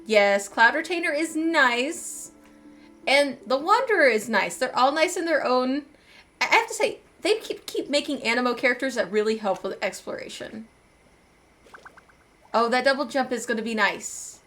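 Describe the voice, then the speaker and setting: A woman's voice: high voice, expressive, normal speed, a bit bright. A woman streaming a game, giving casual, playful, chatty commentary as she reacts to the story, with game music behind her.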